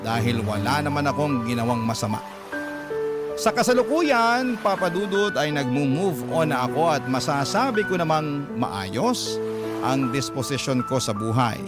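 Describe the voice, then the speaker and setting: A man's voice: mid-range voice, sing-song, normal speed. A man narrating a first-person letter as dramatic storytelling for a radio drama. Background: loud background music.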